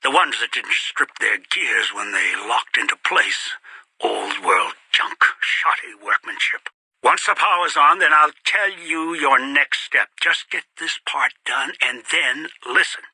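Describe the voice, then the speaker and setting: An actor playing a bitter, greedy old man in a video game, scheming and commanding with contempt in a raspy, breathy voice. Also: medium pitch, expressive, a little slow, bright, forceful tone, dry recording, exaggerated voice, poor audio. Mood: condescending, instructing. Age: older. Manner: contemptuous, commanding, muttering to himself. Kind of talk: video game character voice acting.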